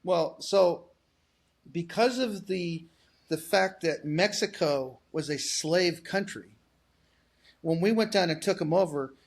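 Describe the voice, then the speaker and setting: A man's voice: high voice, slow, vocal fry. A man in his forties co-hosting a casual podcast, blunt and profane, rambling with frequent restarts. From United States. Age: 40s. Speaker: podcast co-host. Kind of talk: casual political chat.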